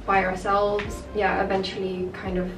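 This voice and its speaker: A woman's voice: mid-range voice, completely flat delivery, moderate pace. A young woman, raised in the UK, chatting candidly to camera with lots of filler words. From half Japanese, raised in the UK. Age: young adult. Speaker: vlogger. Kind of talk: casual chat to camera. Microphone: far camera mic. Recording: echoey room, distant mic, noisy.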